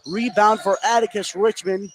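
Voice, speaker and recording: Higher-voiced man calling basketball, noisy gym. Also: steady tone, normal speed. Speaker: play-by-play announcer. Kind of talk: live basketball radio commentary. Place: indoor basketball gym during a game. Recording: clear gym noise behind the voice.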